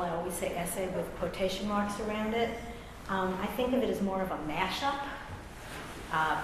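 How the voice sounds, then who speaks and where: American woman, middle-aged, wry author at a live reading; very reverberant hall, faint noise. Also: medium pitch. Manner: wry, self-deprecating, conversational. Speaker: author and English professor. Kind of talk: public reading of a personal essay, with asides.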